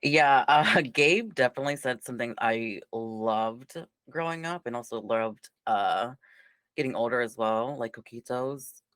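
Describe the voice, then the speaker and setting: A panel guest chatting in a lively, blunt way, swearing for fun. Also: mid-range voice, a little slow, smooth, no echo. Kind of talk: casual panel chat.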